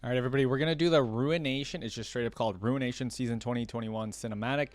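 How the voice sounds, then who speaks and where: A man's voice: medium pitch, fairly even intonation, rapid-fire, dry recording. A man hosting a reaction video, commenting informally and enthusiastically as he thinks aloud.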